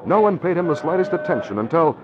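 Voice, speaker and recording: A man's voice: a little high, big pitch swings, fast talker, background noise. A male announcer and narrator of an old radio show, delivering sponsor lines and narration in an emphatic, declamatory way. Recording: muffled old radio broadcast.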